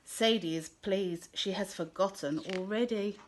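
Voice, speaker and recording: A woman reading a children's picture book aloud in a gentle, clear storytelling manner. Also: medium pitch, measured pace, a little hiss or hum. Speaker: storybook reader.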